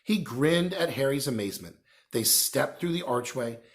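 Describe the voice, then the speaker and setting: Animated man reading a storybook. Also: mid-range voice, normal speed. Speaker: reader, storyteller. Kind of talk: expressive read-aloud of a children's novel.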